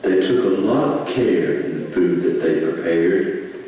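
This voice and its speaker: A man's voice: low-pitched, unhurried, highs cut off, a crowd in the background. A man playing a patient, teasing dad who explains things in a folksy, instructive way in a puppet show. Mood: patient, explaining. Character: patient, teasing dad explaining town history to his child. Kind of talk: scripted puppet show dialogue. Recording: echoey hall from far off, faint noise.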